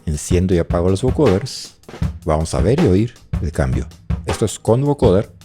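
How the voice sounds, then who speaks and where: A man presenting a music production tutorial, explaining as he demonstrates and thinking aloud in short phrases with pauses. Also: low-pitched, sing-song. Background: drum loops playing.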